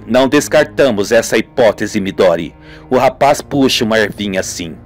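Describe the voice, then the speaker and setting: A man's voice: lowish voice, expressive, moderate pace, voice pushed down. A man voicing an earnest, weary police inspector in an amateur radio soap, over music. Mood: grave, intrigued. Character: weary, earnest male police inspector recounting grim cases.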